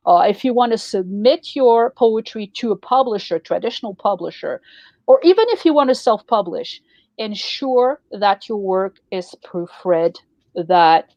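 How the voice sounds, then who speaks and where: A woman's voice: mid-range voice, expressive, a little slow, a little mellow, no echo, highs cut off. A woman whose first language is French, co-hosting a podcast and explaining writing tips in a casual, roundabout way with many fillers. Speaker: podcast co-host. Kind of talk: casual advice talk.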